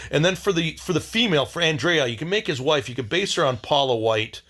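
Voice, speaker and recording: A man hosting a casual video diary, rambling in a blunt, wry way and often halting to search for words. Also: a little high, lively intonation, a bit bright.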